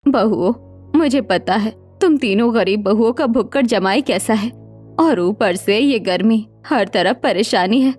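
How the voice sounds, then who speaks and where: A woman's voice: on the high side, a little slow, darkish tone, faint music behind. A woman voicing a worn-out, anxious old mother-in-law in a cartoon story, with a plaintive, dramatic delivery. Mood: worried. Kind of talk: Hindi cartoon story dialogue.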